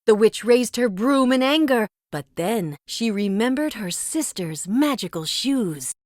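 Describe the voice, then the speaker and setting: Animated woman reading a children's storybook. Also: fairly high pitch, unhurried, no room sound, clean studio sound. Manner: expressive, dramatic storytelling. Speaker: storybook narrator. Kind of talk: read-aloud scripted children's narration.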